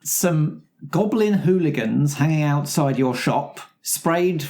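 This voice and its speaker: A man's voice: on the high side, expressive, slow, mellow. A man taking part in casual improvised roleplay as a player at a tabletop game.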